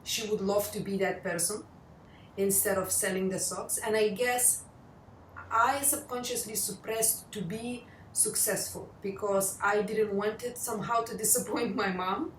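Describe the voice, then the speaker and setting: Lithuanian-accented woman, echoey and distant. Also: fairly even intonation. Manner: earnest, slow and searching, with non-native grammar. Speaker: vlogger interviewing a guest. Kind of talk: personal interview chat. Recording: echoey room, voice off-mic, faint rumble.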